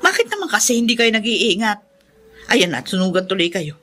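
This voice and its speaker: An actress playing a callous, sharp-tongued middle-aged mother in a Filipino radio drama, scolding and dismissive. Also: mid-range voice, very animated, a little fast. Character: callous, sharp-tongued mother who spurns her children.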